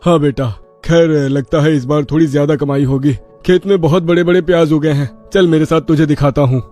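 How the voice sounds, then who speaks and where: A man's voice: on the high side, fairly even intonation, brisk, warm tone, soft background music, natural voice. A man playing a kindly, practical village father in a children's story. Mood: concerned, reassuring. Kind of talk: children's moral story voice acting.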